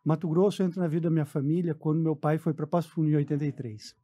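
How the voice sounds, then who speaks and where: A man in his forties with a southern Brazilian accent talks as a guest in a radio interview. He tells stories in a casual way. His voice is fairly high for a man and has a soft, mellow tone. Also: fairly even intonation, fast. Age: 40s. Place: radio studio.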